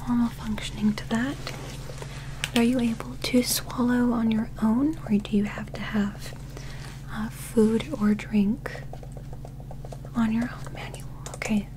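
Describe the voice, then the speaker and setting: A young woman voicing a calm alien doctor in a soft ASMR medical roleplay, speaking carefully and reassuringly in a whisper. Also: fairly high pitch, background noise. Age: 20s. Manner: slow, careful, clinical, reassuring. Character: calm female alien doctor examining a human.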